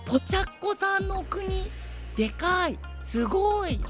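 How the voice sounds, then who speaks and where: An excitable young male knight for an anime audio drama. He sounds boyish, trusting and casual, with a very lively pitch range. Light music sits behind him. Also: unhurried, heavily acted. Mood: eager wonder.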